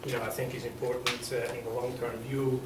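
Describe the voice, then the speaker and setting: A man's voice: medium pitch, flat delivery, fairly quick. A man with a Swiss accent, a developer presenting to a city workshop, hesitant with many ums and restarts. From Switzerland. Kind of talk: presentation at a public meeting. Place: council chamber. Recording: echoey meeting room from far off, noisy.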